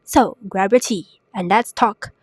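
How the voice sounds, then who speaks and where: A young woman hosting a solo podcast while sick, her voice raspy and congested, chatty and self-mocking with lots of rhetorical questions. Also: mid-range voice, a little slow, no room sound, low-quality recording. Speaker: podcast host. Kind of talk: casual solo podcast talk. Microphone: new podcast microphone.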